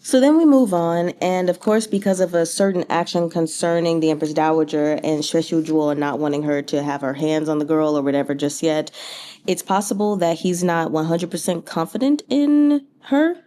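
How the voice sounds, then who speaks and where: Mellow, low-voiced woman chatting casually. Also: normal speed. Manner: informal, chatty, thinking aloud with slangy asides. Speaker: host of a book read-along channel. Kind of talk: casual rambling book commentary.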